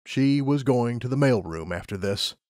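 A man's voice: mid-range voice, lively intonation, moderate pace, a little mellow, no room sound, clean studio sound. A man narrating a scripted story as an audiobook narrator.

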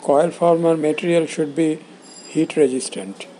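A man giving a scripted instructional narration in a measured, explanatory way, in short phrases. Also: fairly high pitch, steady tone, mellow, slight background noise, poor audio. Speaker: instructor presenting components.

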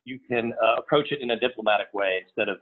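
Middle-aged chef, tinny phone-like sound. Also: male voice, mid-range voice. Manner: halting, many uh fillers and repeats. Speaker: restaurant chef. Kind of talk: casual interview answers.

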